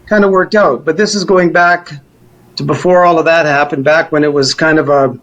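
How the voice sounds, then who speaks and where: Higher-pitched man, rambling and informal. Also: steady tone, normal speed, a bit bright, muffled recording. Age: middle-aged or older. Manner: rambling, informal, hedging with "kind of" and "you know". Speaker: musician interviewee. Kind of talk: casual reminiscing interview chat.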